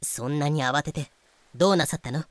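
A woman's voice: sits low, brisk, dry recording, natural voice. Woman as a cool, sardonic lady. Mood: composed. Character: composed, cutting lady who coolly narrates pirate tales. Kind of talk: fan-made Japanese voice drama acting.

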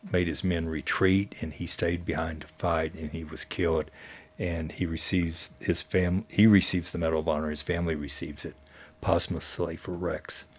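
A man telling stories conversationally as a guest, speaking in a halting way with frequent pauses. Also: deep voice. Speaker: guest storyteller and history teacher. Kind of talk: conversational storytelling.

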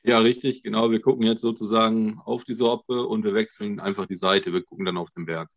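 A man's voice: lowish voice, steady tone, a little fast, no room sound. A man co-hosting a podcast, talking casually. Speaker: podcast co-host. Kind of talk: casual chat.

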